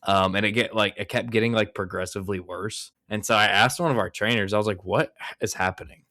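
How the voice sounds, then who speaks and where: A man's voice: fairly low pitch, steady tone, fairly quick, bright, forceful tone, dry recording, studio quality. A young man in his twenties hosting a podcast, talking casually and rambling with lots of fillers. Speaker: podcast host. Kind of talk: casual chat.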